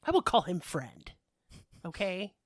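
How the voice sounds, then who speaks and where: A woman's voice: on the low side, very animated, unhurried. Irreverent woman podcasting while under the weather. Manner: irreverent, joking, slangy. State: sick, coughing. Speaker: podcast host telling paranormal stories. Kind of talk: casual chatty storytelling with swearing.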